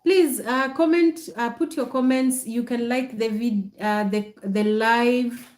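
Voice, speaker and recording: Slow-talking Kenyan woman, echoey livestream. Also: on the high side, steady tone, a little mellow. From Nakuru, Kenya. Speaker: content creator hosting a livestream. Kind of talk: casual livestream chat to viewers.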